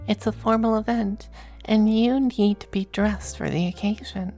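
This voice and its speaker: A woman voicing a suave, possessive demon femboy in an ASMR roleplay, with genteel, teasing endearments and a breathy voice that dips into whispers. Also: medium pitch, fairly even intonation, normal speed, mellow, soft background music, close to an everyday voice. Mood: possessive, fond. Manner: genteel, languid, commanding endearments.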